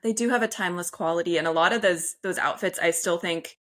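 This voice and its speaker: A woman co-hosts a casual interview, chatty and full of "like" and quick agreements. Her voice sits a little low and sounds bright and forward. She talks as a writer reflecting on what she hears. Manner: chatty, frequent "like" and short affirmations. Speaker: podcast co-host, writer.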